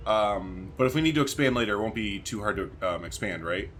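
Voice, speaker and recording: A man hosts a casual gaming video in a fairly low voice that swings up and down a lot. He thinks aloud in slangy, informal phrases as he plays. A faint layer of ambient noise sits behind his commentary. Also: animated, fairly quick. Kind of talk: casual let's-play commentary.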